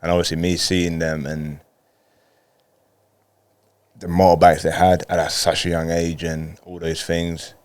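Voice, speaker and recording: A man's voice: low-pitched, little pitch movement, unhurried, pauses often, darkish tone, smooth, no echo. A man in his thirties from Colombia, a native Spanish speaker, telling personal stories casually as an interview guest. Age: 30s. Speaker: podcast guest, footballer.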